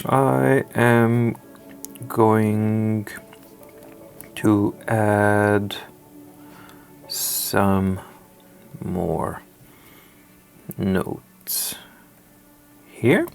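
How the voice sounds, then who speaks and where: A man whose native language is Serbian, reviewing a device in an explanatory walkthrough, calm with hesitations and bursts of enthusiasm. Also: lowish voice, vocal fry. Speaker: tech reviewer. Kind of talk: screen demo walkthrough.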